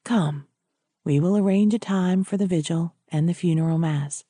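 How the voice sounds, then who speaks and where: A composed, kindly priest voiced by a woman narrator speaks in a lowered, mellow tone. He is gentle and formal, choosing his words deliberately, like a character in a storybook reading. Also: dry recording, clean studio sound, lightly acted.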